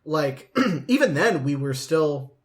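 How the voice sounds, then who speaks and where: A man's voice: on the high side, expressive, takes it slowly. A man in his late twenties chatting casually as a podcast host, telling rambling anecdotes. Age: late 20s.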